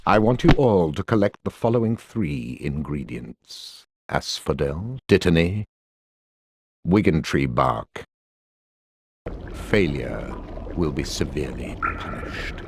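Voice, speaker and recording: An actor playing a stern, contemptuous potions professor in a video game, speaking in a deliberate, ominous way. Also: male voice, a bass voice, sing-song, vocal fry, slightly rough, full character voice. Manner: deliberate, ominous, disdainful delivery. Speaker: potions teacher. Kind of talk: scripted video game cutscene acting. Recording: game audio with loud background noise.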